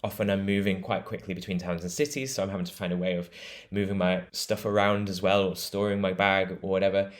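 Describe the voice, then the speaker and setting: British guy with a really low voice, chatty and keen, explains stuff at length. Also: fairly even intonation, fast. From Britain. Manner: enthusiastic, laughs easily, explains at length. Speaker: guest travel writer. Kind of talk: conversational interview.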